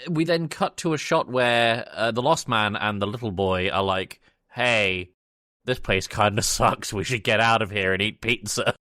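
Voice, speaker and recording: A man's voice: on the low side, normal speed, pushed and bright, dry recording, clean studio sound. A man hosting a podcast in casual chat, talking hesitantly with joking asides. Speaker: podcast host. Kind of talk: casual chat reviewing a film.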